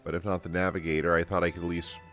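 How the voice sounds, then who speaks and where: A man's voice: low voice, little pitch movement, racing, fairly bright tone, faint music behind. A man voicing a polite, worried young girl from a fantasy game, reading her lines in a simple, earnest way. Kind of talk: game dialogue read aloud.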